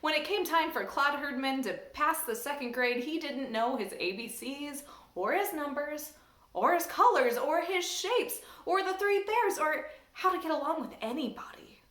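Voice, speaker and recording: A woman from a church staff reading a children's book aloud in an expressive, lively storytelling manner. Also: sits high, normal speed. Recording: roomy echo.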